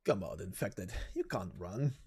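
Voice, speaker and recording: A woman voicing a threatening, curt hunter in a game story with a low, dark voice. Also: expressive, measured pace, slightly rough, full character voice. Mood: menacing. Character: menacing hunter stalking the infected.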